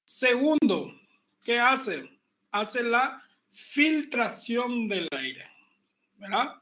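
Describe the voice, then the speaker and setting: A man teaching an online university class, relaxed and chatty, repeating his points and joking with the students. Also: very high-pitched, drawn-out words. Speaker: university pathology lecturer. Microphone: computer mic on a video call.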